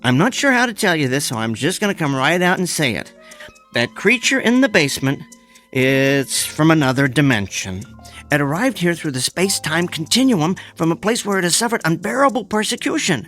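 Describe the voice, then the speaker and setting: Man playing an earnest, animated amateur scientist. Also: on the high side, a little fast, soft background music. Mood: earnest, pleading. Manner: hesitant, stammering, earnest. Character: eccentric, mild-mannered male amateur scientist, henpecked husband. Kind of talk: radio drama acting.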